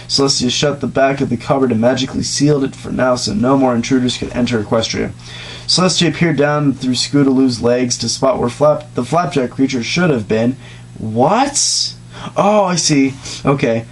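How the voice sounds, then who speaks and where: A man's voice: medium pitch, poor audio. A man giving an informal mock dramatic reading, joking in asides and stumbling over words now and then. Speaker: amateur reader of comedic fan fiction. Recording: amateur recording with background noise.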